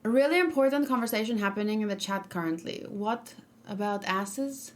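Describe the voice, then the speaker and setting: A woman's voice: medium pitch, animated, a little fast. A young woman streaming and reacting live, chatting casually, reading viewer comments aloud and rambling with frequent fillers. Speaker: streamer reacting to game character.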